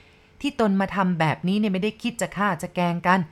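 A scrawny old shaman, short-tempered and commanding, snaps orders in a fairly low voice, as a woman narrator plays him. The delivery stays close to a plain storytelling voice. It comes across as a told ghost story. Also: a little fast, lightly acted. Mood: pleading. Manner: stern, commanding. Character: thin old male Karen shaman, stern and irritable.

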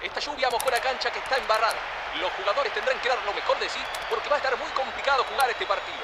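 A man's voice: extremely high pitch, rapid-fire. A man playing a solemn sports commentator in an audio drama. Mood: urgent, solemn. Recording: very noisy outdoor-like ambience.

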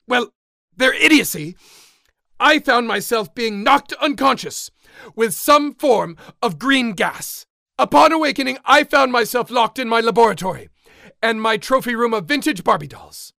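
A man's voice: extremely high pitch, vocal fry, bright, forceful tone, no echo, clean studio sound, full character voice. A man playing an angry, pompous mad scientist in a campy, theatrical radio drama.

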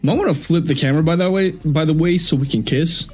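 A man's voice: on the high side, fast, a little mellow, faint music behind. A young man from Washington State, a streamer and rapper, chatting as a guest over a video call, swearing freely and laughing often. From United States (Washington State). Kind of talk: casual chat.

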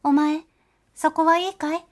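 A woman's voice: fairly high pitch, moderate pace, a little mellow, close to an everyday voice. A woman voicing an anxious, humble, and very polite mother field mouse in a storybook reading.

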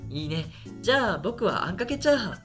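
Man playing a shy student with lively pitch. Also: fast talker, faint music behind. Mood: relaxed. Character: shy lonely male university student, soft-spoken, quietly scheming. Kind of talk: radio drama dialogue and first-person narration.

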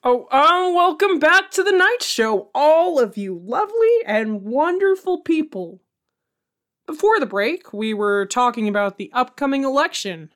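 A woman's voice: sits high, sing-song, measured pace, a bit bright, heavily acted. Woman playing a rambling night radio host. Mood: forced cheer. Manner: rambling, self-interrupting, chatty. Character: flustered, placating female night radio show host. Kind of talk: audio comedy drama in radio show format.

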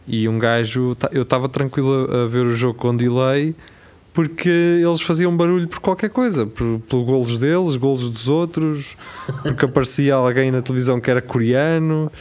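A man's voice: mid-range voice, moderate pace, a little mellow. A man with a European Portuguese accent telling stories in a casual podcast chat among friends, swearing and laughing often. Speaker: podcast co-host.

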